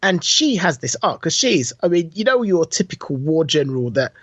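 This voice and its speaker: A man's voice: fairly high pitch, sing-song, normal speed, fairly bright tone, no echo. A man chatting casually and enthusiastically as a podcast co-host, with informal, emphatic delivery. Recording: dull, muffled lo-fi audio.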